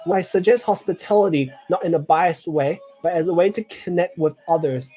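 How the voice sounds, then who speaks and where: Twenty-something man hosting a casual live chat; rambles with lots of fillers, a slightly higher voice. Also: a little fast, dark tone, soft background music. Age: 20s. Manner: clears throat often. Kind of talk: casual live chat reading viewer comments.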